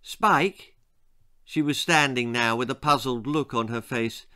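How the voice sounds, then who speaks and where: A British man in his sixties reading a children's story aloud with expressive, theatrical narration. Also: mid-range voice, normal speed, smooth. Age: 60s. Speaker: author reading own story. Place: small book-lined room indoors.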